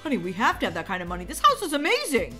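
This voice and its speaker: A woman playing an excitable, dramatic young husband and new cop in a game roleplay story. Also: fairly high pitch, very fast, soft background music, full character voice. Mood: excited.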